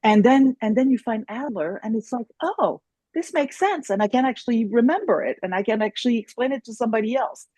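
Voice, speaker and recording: A woman's voice: a little high, expressive, a little fast, warm tone, no echo. A woman in her sixties, a native German speaker raised in France, telling stories animatedly as a podcast guest, with many restarts. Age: 60s. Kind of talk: casual conversation.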